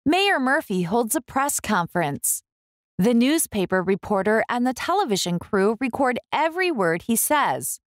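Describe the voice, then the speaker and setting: A woman reading a picture book aloud for young children, expressively, with deliberate, clear enunciation. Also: on the high side, big pitch swings, no room sound, clean studio sound. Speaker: children's book reader, host.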